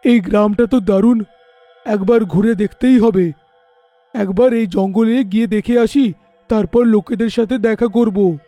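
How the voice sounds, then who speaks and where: Man acting a high-voiced, enthusiastic city traveller. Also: fairly even intonation, a little slow, dark tone, no room sound, faint music behind. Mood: curious. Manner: enthusiastic, sprinkles in English exclamations. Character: cheerful, curious city traveller delighted by food. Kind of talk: animated horror story voice acting.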